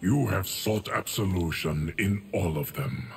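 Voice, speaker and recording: A man playing a stoic, ancient guardian of time in a video game, speaking formally and gravely with a gravelly voice. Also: lively intonation, heavy background noise, exaggerated voice. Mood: solemn, measured. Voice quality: deep, gravelly. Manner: slow, measured, formal. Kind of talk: scripted game dialogue.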